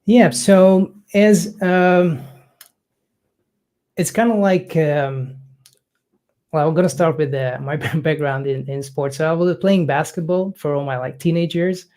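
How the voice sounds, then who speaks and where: A man's voice: fairly high pitch, darkish tone. Guy coach, young, lots of ums and uhs, casual chat. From Hungary. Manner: halting, many fillers, non-native phrasing.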